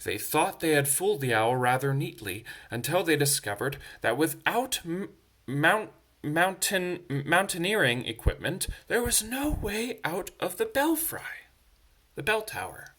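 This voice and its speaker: Animated, bright-voiced man reading to children. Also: on the high side, a little slow. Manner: expressive, dramatic. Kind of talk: animated bedtime story reading with asides.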